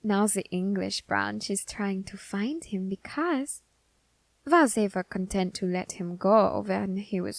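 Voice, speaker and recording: Woman doing a grim reaper for asmr roleplay, nerdy curious but quietly threatening, really slow formal talk, super dry recording. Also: medium pitch, dry recording. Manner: measured, formal, deliberate phrasing with pauses. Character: curious, scholarly, quietly menacing Grim Reaper studying a demon. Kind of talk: ASMR character roleplay audio drama.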